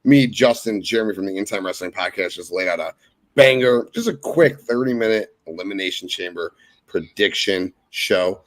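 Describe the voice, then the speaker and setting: A man with a fairly low voice talks as a podcast guest. He is laid-back and friendly, running through thanks and plugs in a relaxed way. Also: moderate pace. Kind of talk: casual podcast chat.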